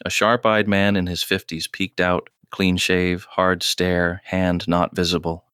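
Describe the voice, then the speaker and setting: A man narrates a scripted audio drama in a low, smooth voice. His delivery is measured and terse with very little rise or fall. The sound is close and dry. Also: level tone, a bit bright, no room sound.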